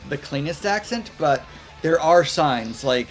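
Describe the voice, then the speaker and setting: A man's voice: on the high side, lively intonation, unhurried. A man with a Midwestern American accent co-hosting a casual music podcast, talking in thoughtful, hesitant asides. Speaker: podcast co-host. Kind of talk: casual chat about music.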